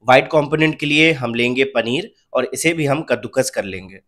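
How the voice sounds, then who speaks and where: A young man calmly narrating a home cooking recipe step by step as the channel's host. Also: medium pitch, moderate pace. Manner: calm, step-by-step instructional.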